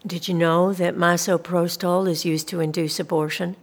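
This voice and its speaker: A woman's voice: on the low side, level tone, moderate pace, slightly rough. An actress playing a polite but persistent government social worker in an audio drama, soothing and officious as she questions someone. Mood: officious, pressing. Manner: politely insistent, bureaucratic, soothing reassurances. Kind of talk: scripted audio drama dialogue.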